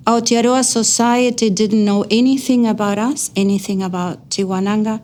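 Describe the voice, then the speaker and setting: A woman's voice: medium pitch, darkish tone. A middle-aged woman from Argentina with a Spanish accent, a native Spanish speaker, gives a personal account as an educator and researcher, speaking earnestly and leaning on key words.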